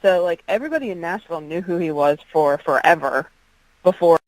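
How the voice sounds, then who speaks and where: A woman's voice: fairly low pitch, lively intonation, brisk, no room sound, low-quality recording. Chatty alto woman, dry close sound. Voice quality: strong alto voice. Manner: chatty, frequent ums and restarts. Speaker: country singer-songwriter guest. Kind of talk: casual interview chat.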